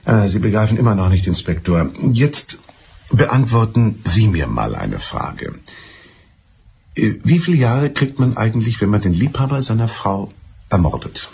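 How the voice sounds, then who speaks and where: An actor in a German radio drama playing a mild, evasive, smugly clever betrayed husband who talks in a deliberate, circuitous way. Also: male voice, lowish voice, big pitch swings, creaky, darkish tone, breathy edge, full character voice. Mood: smug, pedantic.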